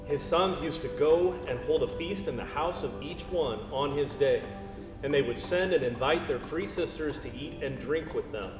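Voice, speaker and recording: A man's voice: a little high, moderate pace. A man reading scripted narration for a dramatized Bible story. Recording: echoey hall, distant, clear music behind.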